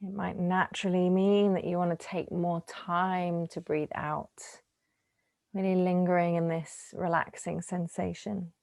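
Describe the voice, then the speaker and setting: A woman with a slightly low voice leads a guided relaxation meditation. She speaks gently and soothingly, leaving unhurried pauses between phrases. The sound is very dry and close, with no room around the voice. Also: level tone, vocal fry, no room sound. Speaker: yoga nidra teacher.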